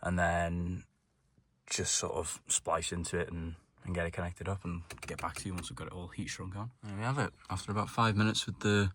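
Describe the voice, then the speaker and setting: A man's voice: deep voice, level tone, a little fast, a bit bright, a little hiss or hum. A man giving a casual hands-on how-to narration, informal and thinking aloud as he works like a DIY car presenter.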